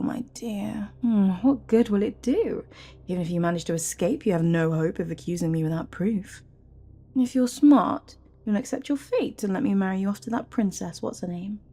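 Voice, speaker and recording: A woman performs a scheming royal advisor in a fantasy audio roleplay, a smug and menacing sorceress. The voice is dark and velvety with a creaky edge, drawling out mock courtesies slowly and theatrically, breathy and often sinking toward a whisper. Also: mid-range voice. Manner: theatrical, mock-courteous, taunting, drawn-out phrasing.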